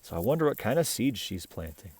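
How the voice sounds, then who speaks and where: A man reading a children's picture book aloud in an animated, playful way, chatting with and questioning the listener. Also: lowish voice, quick pace, a little hiss or hum. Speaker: picture-book reader, host.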